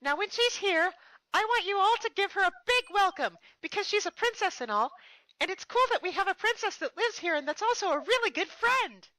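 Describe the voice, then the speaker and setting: A performer voicing a bubbly, excitable young female pony, loud and eager, in a fan fiction reading. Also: very high voice, fairly quick, no echo, pitched above the performer's own voice, exaggerated voice, rough recording. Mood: excited.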